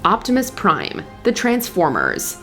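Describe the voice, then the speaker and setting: A woman's voice: medium pitch, huge pitch swings, measured pace, a bit bright. A woman reading scripted narration for a countdown video. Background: faint music.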